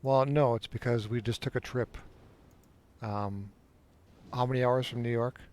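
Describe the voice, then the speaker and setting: A man's voice: medium pitch, fairly even intonation, unhurried, darkish tone. A man chatting casually while playing a game at home, with a dry, teasing manner. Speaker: streamer playing an online game. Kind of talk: casual gameplay chat.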